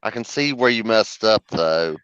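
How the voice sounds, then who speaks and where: A man's voice: on the low side, animated, normal speed, a crowd in the background. A man on a podcast panel, an editor, talking in casual livestream chat. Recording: slightly dull livestream audio.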